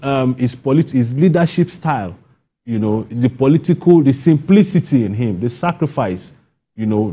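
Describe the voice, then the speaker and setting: A man's voice: mid-range voice, warm tone, slightly rough. A Nigerian man speaking as an interview guest, earnest and emphatic, repeating phrases as the point builds. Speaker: playwright and guest. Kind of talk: broadcast interview answers.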